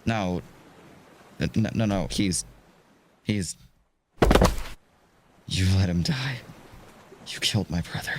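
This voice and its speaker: A man's voice: low-pitched, slow pace, long pauses, heavy background noise. An actor playing a hot-headed young male hostage in an audio drama, urgent, stammering and defiant. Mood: grief-stricken.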